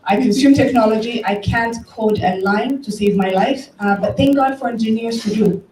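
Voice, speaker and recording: A woman's voice: fairly high pitch, moderate pace, a little mellow. Woman giving an informal talk, roomy sound. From Ethiopia. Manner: conversational, anecdotal, addresses the audience directly. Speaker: conference speaker, tech company director. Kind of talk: informal public talk with storytelling. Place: event room, before an audience. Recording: roomy, distant mic, faint background noise.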